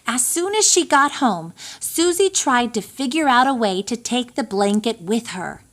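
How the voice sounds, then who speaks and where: A woman with a fairly high voice reads a picture book to young children. Her pace is very unhurried and her pitch moves in lively swoops. She tells the story expressively and deliberately. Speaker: storybook reader.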